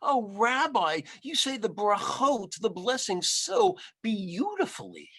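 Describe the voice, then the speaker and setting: A man voicing a kindly, chatty grandmother in her nineties from a storybook, fussy, welcoming and gently scolding. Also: sits high, wildly swooping pitch, slow pace, slightly rough, pitched above the performer's own voice, exaggerated voice. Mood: admiring, playful. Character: kindly, chatty ninety-seven-year-old village grandmother, hard of hearing.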